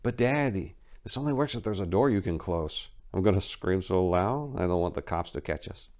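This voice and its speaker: A man's voice: sits low, animated, moderate pace, a little mellow. A man voicing a hard, scheming young female street hustler with a coaxing, lascivious manner in a crime story reading.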